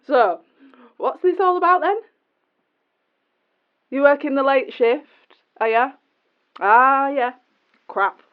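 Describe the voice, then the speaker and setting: Midlands woman, chatty, blunt, higher pitch, muffled recording. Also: takes it slowly, pauses often. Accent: English Midlands. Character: chatty woman answering a phone box, tipsy and blunt.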